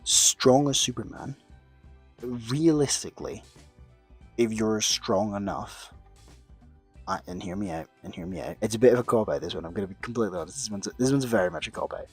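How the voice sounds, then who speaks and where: A man's voice: medium pitch, sing-song, brisk, creaky, slightly rough, soft background music. A young man, rambling through would-you-rather questions in casual chat, mocking himself, swearing offhand and restarting his sentences. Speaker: video creator answering questions.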